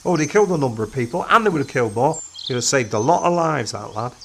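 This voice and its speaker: A man's voice: medium pitch, lively intonation, fast talker, vocal fry, slight background noise, pitched below the performer's own voice. A reader voicing a blunt, dry-humoured male time-traveller who talks in a casual, clipped way.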